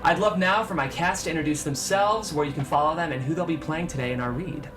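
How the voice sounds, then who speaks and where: A man's voice: a little high, fast talker. A man hosting and narrating a screenplay table read, presenting the script to an audience. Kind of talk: show presenting and scripted stage-direction narration.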